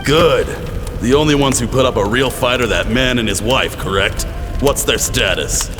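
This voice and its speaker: An actor playing a cruel, gloating military captain in a video game drama, commanding and sneering with drawn-out menace. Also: male voice, medium pitch, close to an everyday voice. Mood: cold, commanding. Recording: reverberant, music bed behind.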